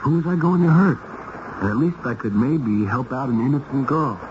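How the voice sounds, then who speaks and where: A hard-boiled American cop, played by a man, wry and skeptical, with a mid-pitched voice and animated delivery. He talks slangy, in old radio drama style, on a muffled, noisy recording. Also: normal speed, close to an everyday voice. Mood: reflective. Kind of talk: 1970s radio drama acting.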